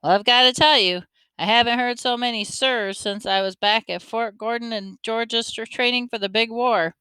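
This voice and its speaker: A woman's voice: a little high, moderate pace, sharp tone, smooth, dry recording, voice pushed up, rough recording. A woman reading a folksy, teasing grandfather. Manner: folksy, joking, chatty. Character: friendly teasing older man, grandfather, army veteran.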